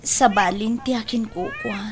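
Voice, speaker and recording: A woman's voice: on the high side, moderate pace, a little hiss or hum, close to an everyday voice. A woman acting an anxious, pleading daughter in an audio drama, with a Filipino accent. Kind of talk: Ilocano radio drama acting.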